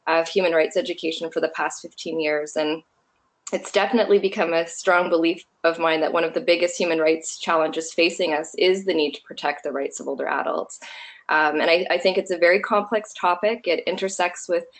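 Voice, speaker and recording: A soft-spoken woman giving an earnest, thoughtful webinar presentation as a human rights educator over a video call. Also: on the low side, fairly even intonation, brisk. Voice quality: quiet voice.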